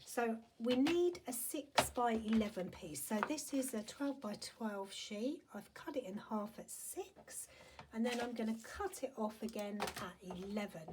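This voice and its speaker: A woman with a croaky, hoarse voice giving a chatty, friendly craft-along tutorial at home, with lots of ums. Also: a little high, lively intonation, measured pace, noisy background. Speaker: paper craft demonstrator and product seller.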